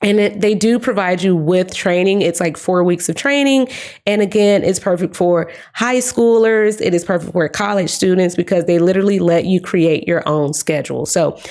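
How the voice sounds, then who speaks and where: Young woman vlogger, kinda low voice, hoarse and fading, chatty and upbeat. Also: moderate pace, a little mellow. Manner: chatty, upbeat, addresses viewers as guys. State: hoarse, voice giving out. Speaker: career advice vlogger. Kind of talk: casual talk to camera.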